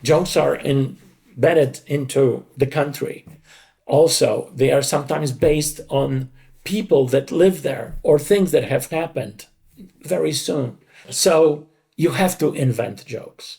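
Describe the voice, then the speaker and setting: A man's voice: fairly high pitch, takes it slowly, creaky, mellow. A middle-aged man giving conversational interview answers in an emphatic, explanatory manner, often repeating short phrases. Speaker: interview guest, dubbing creative director.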